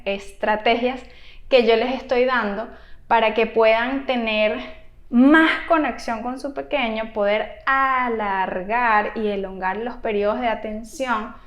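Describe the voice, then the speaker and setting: A woman with a high voice explains things to parents as a therapist would in an advice video. She takes her time and speaks with animated emphasis. The room adds noticeable echo around her voice. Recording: roomy, echoey room sound.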